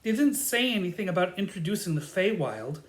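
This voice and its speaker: A woman's voice: on the low side, sing-song, a little fast, roomy sound. A woman playing an awkward, unprepared fairy servant, hesitant and flustered, in a comic audio drama. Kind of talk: theatrical comedy audio drama.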